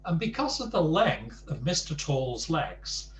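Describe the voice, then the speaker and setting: Animated, unhurried man reading a bedtime story. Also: fairly high pitch, roomy sound, slight background noise. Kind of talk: children's storytelling with chatty asides.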